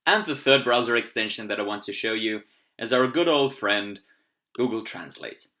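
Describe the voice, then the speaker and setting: A young man from Austria, a German native speaker with an Austrian German accent, gives an upbeat screen-recorded tutorial on language-learning software. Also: fairly low pitch, animated, normal speed. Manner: upbeat, explanatory, occasional fillers.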